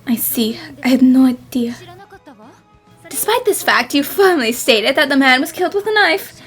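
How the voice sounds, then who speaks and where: Woman voicing young female character, high-pitched, expressive, anime dub, light music behind. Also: moderate pace. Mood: matter-of-fact. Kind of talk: anime-style fan dub acting and narration.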